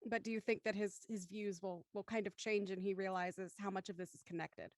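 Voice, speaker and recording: A woman moderates a panel in a conversational way. Her voice sits at a medium pitch, and the recording is dry, with very little room around it. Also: fairly even intonation, fairly quick, dry recording. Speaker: host of a cast discussion.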